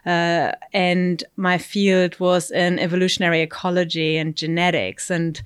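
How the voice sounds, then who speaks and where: A woman's voice: lowish voice, level tone, measured pace, smooth. A middle-aged woman from Germany, a fish breeding scientist answering interview questions with many fillers and asides. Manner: thoughtful, explains with many fillers and "you know".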